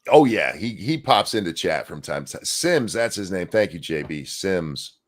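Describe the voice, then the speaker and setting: A man's voice: low-pitched, very animated, normal speed. A man hosting a casual livestream chat, talking in a loose, rambling way with restarts. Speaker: host.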